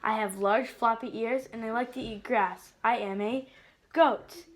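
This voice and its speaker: A woman reading a simple children's book aloud in a measured, deliberate way. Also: a little high, steady tone. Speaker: picture book reader.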